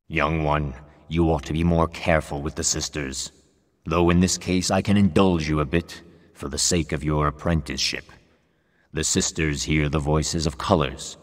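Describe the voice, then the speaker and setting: Cavernous, extremely deep caterpillar elder. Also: male voice. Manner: solemn, admonishing, measured, formal preaching. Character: stern, ominous elder caterpillar brother of the void. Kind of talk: theatrical video game character dialogue. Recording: long cavernous reverb.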